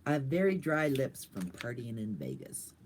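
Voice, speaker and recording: A woman's voice: extremely low pitch, sing-song, normal speed, darkish tone, a little hiss or hum. An older woman vlogging in a casual, rambling and goofy way, chatting to viewers. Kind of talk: casual livestream chat.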